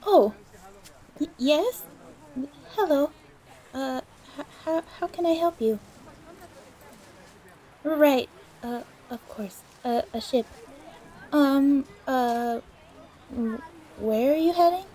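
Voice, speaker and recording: Flustered young charterer, acted by a woman: soft-toned female voice, slow halting delivery, timid stammer, audio roleplay. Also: high voice, lively intonation, rough recording. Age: young adult.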